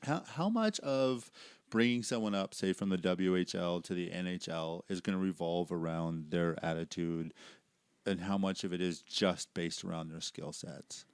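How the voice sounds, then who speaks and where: A male podcast host with a loud voice, chatting eagerly and sharing his own stories with his guest. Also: low-pitched, moderate pace, clear, resonant tone, no room sound. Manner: loud, chatty, eager, affirms often with "yeah". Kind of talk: casual interview chat.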